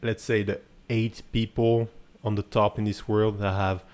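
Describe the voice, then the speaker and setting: Low-pitched man hosting an earnest podcast. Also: level tone, unhurried, a little mellow. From Cameroon, then France from about age seven. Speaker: podcast host. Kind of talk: conversational interview.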